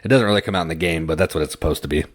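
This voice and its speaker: A man's voice: low-pitched, racing. A man co-hosting a board game podcast, casually running through the news in an easygoing, step-by-step way. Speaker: podcast co-host. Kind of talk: casual chat, news rundown.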